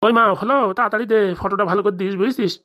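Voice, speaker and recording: A woman's voice: medium pitch, fairly even intonation, racing, dull audio, lightly acted. A woman playing a scheming, impatient moneylender father in a comic cartoon, blustering and insistent. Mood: greedy, plotting.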